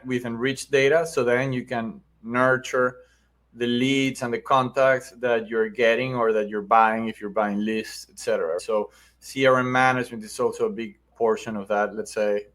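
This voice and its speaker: A man's voice: mid-range voice, a bit bright. A middle-aged man with a Venezuelan accent, explaining things conversationally as an interview guest and often checking in with "right?". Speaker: podcast guest, marketing agency founder.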